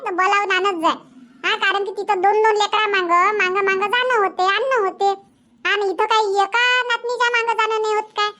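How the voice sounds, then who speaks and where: Woman as a shrill-high, stubborn village wife. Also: bright, forceful tone. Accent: Vidarbha Marathi. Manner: complaining, emphatic, chattering. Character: stubborn, grumbling village wife and young mother. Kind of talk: cartoon comedy dubbing.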